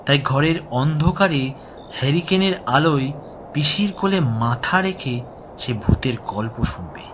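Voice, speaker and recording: A man's voice: on the high side, expressive, measured pace, slight background noise. A man narrating a horror story in a dramatic, scripted storytelling style. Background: music.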